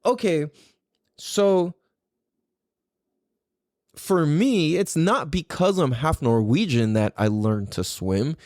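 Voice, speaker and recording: Animated, casual man with lively pitch swings. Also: slow, long pauses, no echo, studio quality. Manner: animated, rambling, frequently swearing. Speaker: podcast host. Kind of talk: casual opinionated podcast talk.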